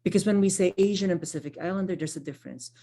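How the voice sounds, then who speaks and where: A woman's voice: on the low side, very fast, mellow. A woman, a Tagalog speaker, giving an online training workshop in a conversational way, often checking in with "right?" and restarting her phrases. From Philippines. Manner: conversational, frequent tag questions and self-corrections. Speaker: workshop presenter, social worker.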